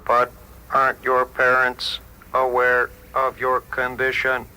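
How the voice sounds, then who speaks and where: Impassive computer, very sharp tone, dead flat, formal radio-drama delivery. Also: male voice, medium pitch, slow, clear, resonant tone, heavily acted. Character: emotionless, literal-minded courteous computer. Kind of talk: dramatized short story acting. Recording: noisy vintage radio drama recording.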